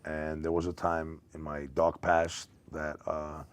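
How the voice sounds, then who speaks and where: A man's voice: rumbling low, monotone, unhurried, smooth. A middle-aged man from Greece speaking casually as a talk show guest, jokey and full of "you know". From born in Greece, came via London to the United States. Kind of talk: casual interview chat.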